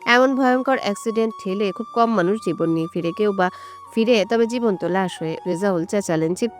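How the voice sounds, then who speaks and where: A woman's voice: mid-range voice, brisk. A woman reading a scripted story as a dramatic narrator. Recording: music bed under the voice. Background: background music.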